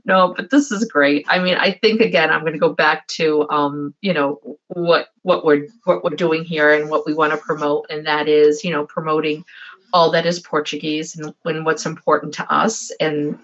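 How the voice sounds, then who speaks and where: Low-voiced woman co-host, lo-fi. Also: normal speed. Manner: animated storyteller, many restarts. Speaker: podcast co-host. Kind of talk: casual chat. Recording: dull lo-fi sound.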